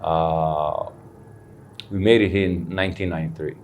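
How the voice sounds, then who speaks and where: Deep-voiced man telling his story. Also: steady tone, moderate pace, darkish tone. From Somalia. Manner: earnest, candid, sprinkles Arabic phrases. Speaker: guest, recovery center founder. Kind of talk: personal storytelling interview. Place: studio.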